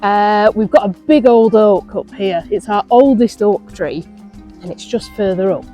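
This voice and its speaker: A woman's voice: mid-range voice, unhurried, dark tone, faint music behind. A woman speaking informally as a woodland guide, explaining things simply outdoors. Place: outdoors in a wood.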